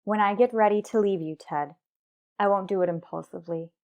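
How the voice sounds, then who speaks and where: An actress playing a resolute, aggrieved wife and mother in a radio soap, earnest, deliberate and restrained. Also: mid-range voice, a little mellow, natural voice. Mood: firm, defiant. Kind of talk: revived daytime radio soap acting.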